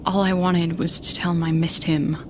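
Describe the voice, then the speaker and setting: An actress playing a young female starship captain, shaken and stubborn, telling her story in a halting, earnest monologue for an audio drama. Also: lowish voice, little pitch movement, heavy background noise, natural voice. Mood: rueful, earnest. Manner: halting, earnest, self-interrupting. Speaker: lead character recounting events in a broadcast. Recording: music bed under the voice. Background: music.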